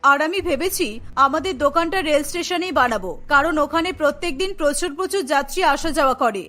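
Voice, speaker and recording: A woman's voice: high voice, fast talker, bright, forceful tone. A woman voicing a caring, firm older mother-in-law in a cartoon, earnest and admonishing, practical about money. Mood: firm, reassuring. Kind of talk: Bengali cartoon dialogue. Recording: music behind the voice. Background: music.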